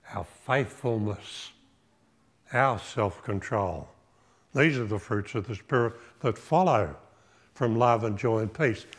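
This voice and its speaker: An older man preaching a sermon in a small church hall, measured and reflective, posing rhetorical questions and listing virtues one by one. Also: mid-range voice, fairly bright tone. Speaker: pastor. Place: small church hall with drum kit, indoors.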